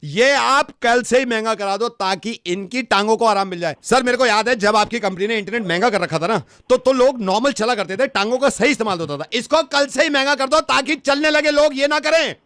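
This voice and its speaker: Man playing a high-pitched, irate customer. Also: brisk, hardly any pauses, pushed and bright, no echo. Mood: frantic pleading. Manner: insistent, repetitive, exasperated. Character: irate older customer complaining about cheap internet. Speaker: radio prank caller. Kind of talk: comic prank phone call.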